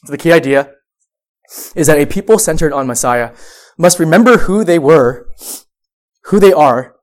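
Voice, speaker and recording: Young male youth pastor, high voice, stuffed up with a cold, earnest sermon delivery. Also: animated, moderate pace. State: sniffling with a cold. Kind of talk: sermon to a high school group.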